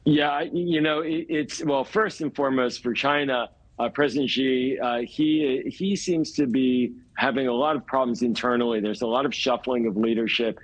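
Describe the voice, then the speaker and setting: An American man with a mid-pitched voice comments as a guest analyst on live television news. He speaks in measured, explanatory phrases, often pausing and repeating words as he forms his point. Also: fairly even intonation, low-quality recording.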